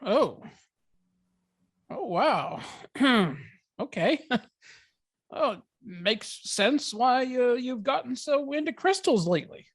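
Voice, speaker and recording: A woman reads a young boyfriend in an informal drama table read. The character is supportive and jokey, with a halting, slightly awkward delivery that stumbles into repeated words. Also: a little high, lively intonation, no room sound, studio quality, heavily acted. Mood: surprised, halting. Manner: hesitant, stammering.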